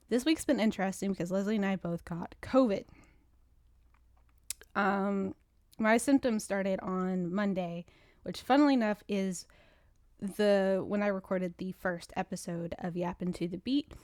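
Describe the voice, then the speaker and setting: A woman's voice: mid-range voice, a little mellow, dry recording, clean studio sound. A woman hosting her own podcast in casual, rambling chat, sounding stuffy and congested. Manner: rambling, chatty, frequent restarts. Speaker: podcast host.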